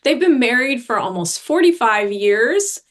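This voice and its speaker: A woman's voice: a little high, big pitch swings, unhurried. A woman hosting a training session on a video call, introducing speakers in an upbeat way.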